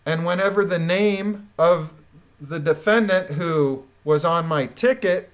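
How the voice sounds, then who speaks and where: A woman's voice: lowish voice, slow pace. A woman gives critical commentary as she reads through a document. She speaks bluntly and with emphasis, sounding indignant about what she is presenting. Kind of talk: reading a court transcript aloud with critical commentary.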